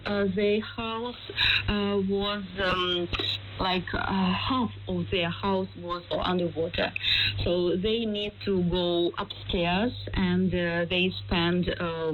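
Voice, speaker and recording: A Ukrainian-accented woman answers interview questions slowly, in halting phrases. Her pitch is average. The recording is a dulled shortwave radio signal with faint static. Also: muffled recording. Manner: halting, hesitant non-native phrasing. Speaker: news interviewee, local resident's relative. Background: static and hiss.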